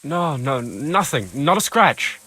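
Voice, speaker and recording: A husky, fairly high man's voice plays a jumpy villager in a video game. He is panicky and evasive, stammering with wide swings in pitch. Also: sing-song, slow pace, sharp tone, exaggerated voice. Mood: frightened. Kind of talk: video game dialogue acting.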